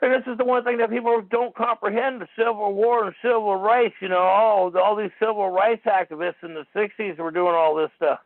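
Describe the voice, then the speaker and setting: A man with a high, gravelly voice holds forth in a rambling, argumentative monologue. The sound is tinny, like a phone line, and close and dry. He circles back over his points again and again. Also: steady tone, a little fast, hardly any pauses, no echo. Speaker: talk show host. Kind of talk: rambling call-in radio monologue.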